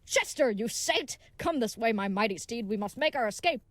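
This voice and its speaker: Bright-voiced, earnest naive young knight played by a woman. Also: fairly high pitch, animated, normal speed, dry recording. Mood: urgent. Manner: formal, stilted, wordy politeness. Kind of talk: scripted audio comedy acting.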